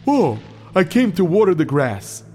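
A cheerful, scatterbrained groundskeeper. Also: male voice, sits high, very animated, a little slow, dark tone, soft background music. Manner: cheerful and naive, rambling. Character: scatterbrained grown man camp groundskeeper, cheerful. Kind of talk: children's audio drama.

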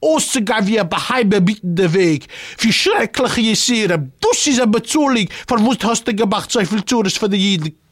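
A woman playing a sarcastic, resentful servant who scolds a fallen king in a theatrical comic drama, with a husky voice. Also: medium pitch, animated, moderate pace, no room sound, studio quality, lightly acted. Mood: scornful, scolding.

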